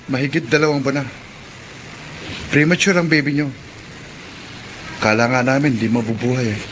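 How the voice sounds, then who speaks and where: Man acting earnest young friend, middle-pitched, well-meaning, Filipino radio drama, faint traffic ambience. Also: fairly even intonation, normal speed, close to an everyday voice.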